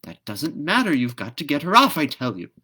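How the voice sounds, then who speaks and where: Storybook swallow, played by a man: high, irritable, brisk, insistent know-it-all bird. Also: wildly swooping pitch, sharp tone, breathy edge, heavily acted. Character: bossy irritable swallow, self-styled legal expert. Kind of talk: storybook read-aloud character voice.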